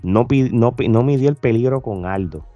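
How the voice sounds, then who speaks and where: A mellow-voiced man hosts a casual podcast. His voice sits at a middling pitch, and he talks conversationally, repeating phrases for emphasis. The sound is close and dry, with faint music behind him. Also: moderate pace, dry recording, muffled recording. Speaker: podcast host. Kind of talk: casual reaction podcast chat. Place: indoor room, remote video call. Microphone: close desk podcast microphone with headphones.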